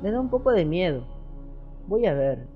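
A woman's voice: on the low side, very animated, normal speed, slightly rough, phone-quality sound, soft background music. A woman playing a plaintive, unsure schoolboy in an educational radio play. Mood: hesitant, troubled. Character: glum, hesitant schoolboy, misunderstood and timid. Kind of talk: educational radio soap acting.

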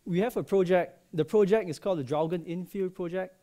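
Guy in his 30s giving a seminar talk, warm soft tone, lots of ums, cracks little jokes. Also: high-pitched, a little fast. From Malaysia. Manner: friendly, joking, many ums and uhs. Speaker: subsea processing engineer. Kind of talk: conference presentation. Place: large lecture hall. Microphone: headset.

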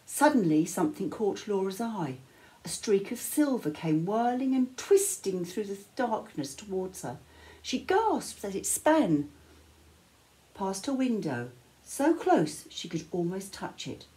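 Older female storyteller for bedtime picture book: unhurried, animated pitch, dramatic pauses. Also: poor audio. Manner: measured, dramatic phrasing with pauses. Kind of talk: expressive picture-book read-aloud. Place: small living room, indoors.

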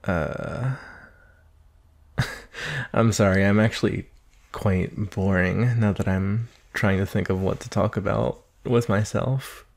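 Slow-talking, shy young naga roommate played by a man. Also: on the low side, creaky, darkish tone. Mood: shy, friendly. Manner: hesitant, nervous, rambling, apologetic. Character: shy, earnest nineteen-year-old male naga monster roommate. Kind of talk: ASMR roleplay, soft conversational acting.

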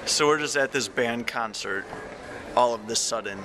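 A young man chatting and joking to a handheld camera in a dark auditorium, laughing often between lines. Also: mid-range voice, moderate pace. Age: young adult. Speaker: vlogger. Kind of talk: casual vlog chat to camera. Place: dark school auditorium at a band concert. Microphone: handheld camera at arm's length. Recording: noisy crowded auditorium camcorder audio. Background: audience chatter and room noise.

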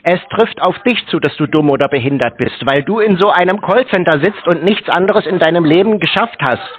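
A man's voice: on the high side, expressive, a little fast, breathy edge, muffled recording. A man taunting someone derisively in a phone argument. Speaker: prankster taking scam calls.

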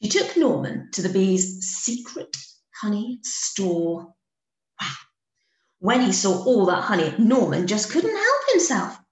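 Slow, expressive woman storyteller in echoey room. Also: mid-range voice. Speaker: teacher reading to pupils. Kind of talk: expressive children's story narration.

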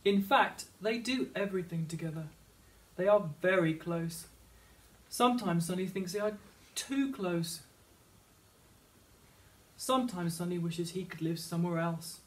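Englishman, children's story narrator, notably high male voice, slightly distant from the microphone. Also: a little slow. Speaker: teacher reading a picture book aloud.

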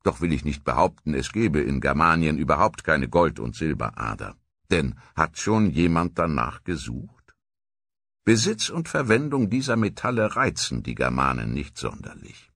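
A man giving dramatic scripted documentary narration with theatrical, deliberate storytelling. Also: extremely low pitch, sing-song, a bit bright, no echo.

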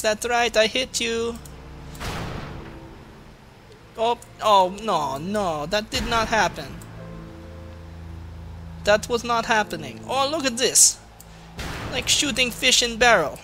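A man's voice: extremely high pitch, lively intonation, slow, pauses often, pushed and bright, soft background music, exaggerated voice. A man putting on a Russian accent as a crude, boastful, grumbling gamer, giving comic gameplay commentary in broken English. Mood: taunting, excited. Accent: put-on Russian. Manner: crude, swearing, mock-menacing, broken English.

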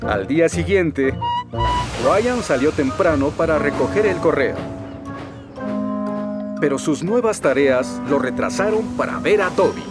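A man's voice: on the high side, expressive, a little slow, pauses often. A man with a Latin American Spanish accent narrating a scripted children's story. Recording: loud music behind the voice.

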